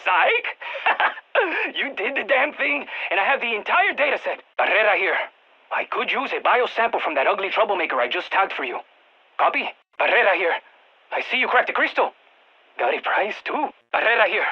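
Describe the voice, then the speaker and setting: A quirky, excitable field scientist in a video game, calling out to a strike team. His husky voice swings widely in pitch with a Spanish accent, through a slightly dull radio filter. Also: moderate pace, full character voice. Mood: gleeful. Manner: animated, exclamatory, peppers speech with Spanish interjections. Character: excitable, quirky male exogeologist supporting a military strike team. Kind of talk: video game voice acting, mission radio callouts.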